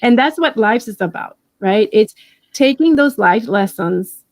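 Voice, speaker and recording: A woman in her forties with a Puerto Rican accent, talking warmly and encouragingly as an interview guest, often restarting her sentences. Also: fairly high pitch, expressive, measured pace, dry recording. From Puerto Rico. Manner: warm, encouraging, with many restarts. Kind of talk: casual interview.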